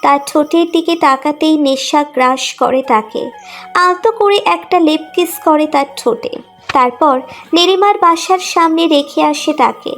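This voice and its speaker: A woman's voice: high voice, unhurried, faint music behind. A woman reading a scripted emotional romantic story as its narrator.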